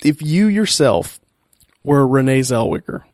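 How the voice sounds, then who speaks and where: A man's voice: medium pitch, very animated, a little slow, a little mellow, no echo, clean studio sound. A man hosting a podcast who asks his questions in a playful way and laughs often. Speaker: podcast host. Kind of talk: casual chat.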